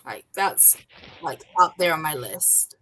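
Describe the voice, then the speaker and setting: A woman's voice: low-pitched, animated, takes it slowly, a bit bright, slight background noise. Chatty woman hosting a writing stream. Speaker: host leading writing sprints. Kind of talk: casual chat on a writing stream.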